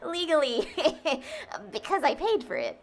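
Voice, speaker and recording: Woman acting an awkward sweet teen girl, high voice that bounces around, stammers when flustered, bit of noise behind. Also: wildly swooping pitch, a little slow, heavily acted. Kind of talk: audio drama acting.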